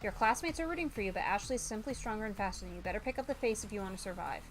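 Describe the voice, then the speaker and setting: A woman's voice: mid-range voice, lively intonation, rapid-fire, faint music behind, rough recording. A woman doing live game stream commentary, reading on-screen text aloud and breaking into exasperated exclamations. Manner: exclaims loudly in exasperation, mutters while reading. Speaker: VTuber streamer.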